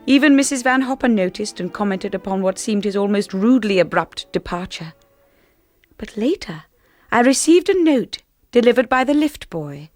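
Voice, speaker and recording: An actress playing a shy young lady's companion in a radio drama, gentle, hesitant and well-spoken as she narrates and converses. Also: mid-range voice, animated, moderate pace, no echo, faint music behind, natural voice. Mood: wistful, reflective. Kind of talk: radio drama acting and first-person narration.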